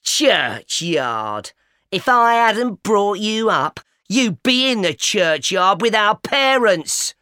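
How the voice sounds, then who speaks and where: A stern, scolding older sister, as a male reader puts her on, talks down sharply and slowly. The reading sounds dry and close. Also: medium pitch, very animated, a bit bright, no echo. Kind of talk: audiobook character voice.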